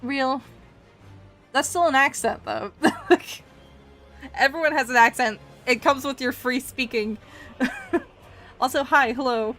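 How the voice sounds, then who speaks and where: A chatty woman in her twenties whose accent mixes Scottish and Canadian, talking casually while she draws on a live stream. She laughs often and answers her viewers out loud. Faint music plays behind her. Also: high voice, measured pace, long pauses. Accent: mixed Scottish and Canadian. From Scotland. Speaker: art streamer.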